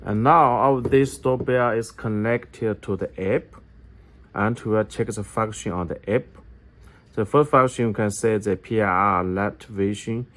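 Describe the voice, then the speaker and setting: A man giving a step-by-step product tutorial in halting English, often repeating his phrases. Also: lowish voice, fairly bright tone. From China. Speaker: product demonstrator for an electronics company.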